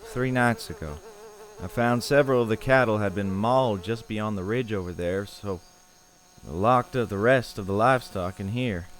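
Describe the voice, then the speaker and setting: Man playing a troubled, low-voiced farmer. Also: normal speed, lightly acted, low-quality recording. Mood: grim, resigned. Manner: hesitant, folksy, understated. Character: troubled, plain-spoken male farmer, grimly resigned. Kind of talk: audio horror drama acting. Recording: insect-like ambient noise behind dialogue.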